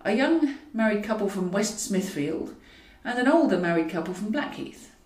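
A woman's voice: mid-range voice, expressive, moderate pace, a little mellow. Woman narrating, roomy and distant. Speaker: author reading own audiobook. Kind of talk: scripted fiction narration. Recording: roomy echo, distant from mic.

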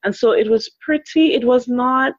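A woman's voice: on the high side, moderate pace, no room sound, muffled recording. A woman in her thirties from Trinidad and Tobago with a Trinidadian accent, speaking as a guest relationship educator in a thoughtful interview conversation.

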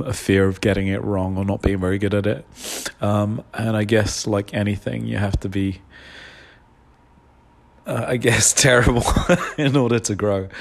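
A man, stuffed up with a cold, talking openly and hesitantly in a solo podcast monologue, full of pauses and fillers. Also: fairly low pitch. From London, England. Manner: open, confessional, hesitant with many fillers. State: congested with a cold. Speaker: podcast host.

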